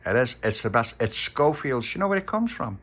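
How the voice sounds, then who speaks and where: A man's voice: medium pitch, sing-song, a little fast, fairly bright tone, husky, voice pushed up. A man voicing a chatty, joking shopkeeper. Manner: chatty, teasing, storytelling. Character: chatty joking male corner-shop keeper.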